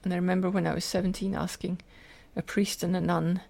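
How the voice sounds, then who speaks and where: A woman's voice: fairly low pitch, little pitch movement, fairly quick, vocal fry, darkish tone. An older woman with a Scottish accent, telling personal stories in an earnest, detailed way as an interview guest. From Scotland. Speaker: podcast guest, teacher and lecturer.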